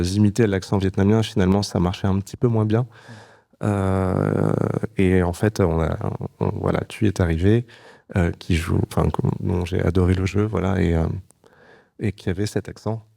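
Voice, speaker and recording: Deep, gravelly-voiced hesitant man. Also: flat delivery, warm tone. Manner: hesitant, many filler pauses. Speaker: film director. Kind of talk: interview answers.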